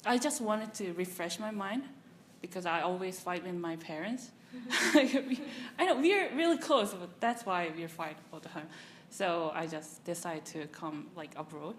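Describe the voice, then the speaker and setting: A young woman, an international student answering interview questions in a chatty way in halting English, laughing easily. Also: lowish voice, a little hiss or hum. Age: young adult.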